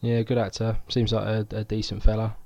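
Male podcast host, low and gravelly, chatty, reads news off his notes. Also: monotone, brisk, a little mellow, no echo, muffled recording. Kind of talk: casual podcast chat.

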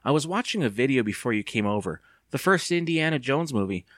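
A man reading a storybook aloud and voicing a nervous, squeamish young girl in a pitched-up, anxious way. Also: animated, a little fast, dry recording, clean studio sound, lightly acted. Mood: warning.

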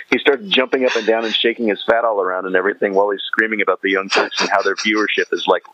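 A man's voice: fairly low pitch, fairly even intonation, fast, bright, forceful tone, no room sound, highs cut off. A man chatting casually as a podcast guest, slangy and often chuckling as he retells things. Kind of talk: casual chat, animated retelling.

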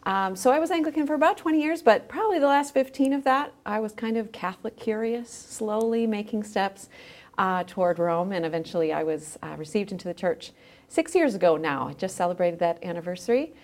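A middle-aged woman speaking conversationally as an interview guest, recounting things in an informal, explanatory way. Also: mid-range voice. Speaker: podcast guest, journal managing editor.

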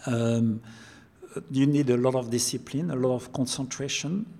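A man's voice: mid-range voice, fairly even intonation, moderate pace, vocal fry, warm tone. An older man with a French accent, a chef answering interview questions, often repeating words as he searches for phrasing. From France. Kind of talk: interview conversation.

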